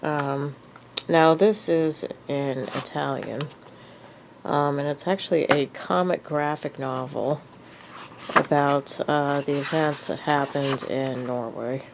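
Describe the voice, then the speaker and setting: Stuffy-nosed lady vlogging, deep gravelly voice, lots of ums, takes her time. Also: fairly even intonation, slow, very noisy. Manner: hesitant, lots of ums and uhs. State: has a cold, sniffly. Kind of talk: casual show-and-tell vlog.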